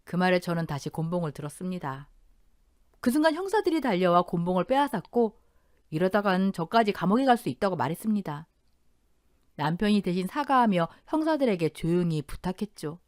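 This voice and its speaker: A woman's voice: on the low side, big pitch swings, a little fast, no room sound, clean studio sound. A woman in her thirties reading a first-person family revenge story as a scripted narration, telling it in a dramatic, emotional way.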